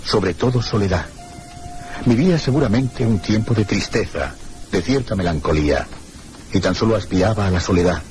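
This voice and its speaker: A male actor plays a melancholy, reserved gentleman from a radio mystery tale, with a lowish Castilian voice. He speaks in measured, reflective, courteous phrases, over a noticeably noisy old recording. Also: lightly acted. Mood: melancholy, brooding. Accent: Castilian Spanish. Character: melancholy, reserved one-armed male guest narrating his tale. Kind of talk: Spanish radio mystery drama, first-person narration.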